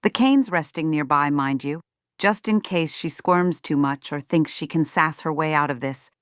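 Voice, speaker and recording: A woman's voice: deep voice, moderate pace, smooth, dry recording, studio quality, lightly acted. A woman playing a strict, self-righteous middle-aged mother in a scripted roleplay, speaking to viewers with folksy, sugary condescension. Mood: firm. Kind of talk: scripted ASMR roleplay monologue.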